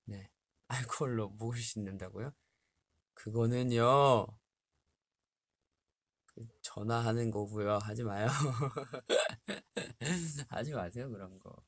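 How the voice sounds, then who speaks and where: A man's voice: mid-range voice, slow, pauses often, dry recording. A young man in his twenties chatting casually on a livestream, reading health tips aloud and laughing often, in a small bare room. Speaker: livestream host. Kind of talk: casual live chat with fans. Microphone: webcam at desk distance.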